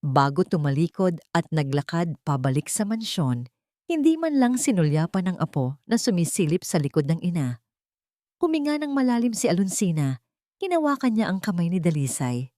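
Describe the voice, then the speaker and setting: A woman's voice: fairly low pitch, big pitch swings, moderate pace, dark tone, dry recording, studio quality. A woman reading a scripted story as a dramatic narrator.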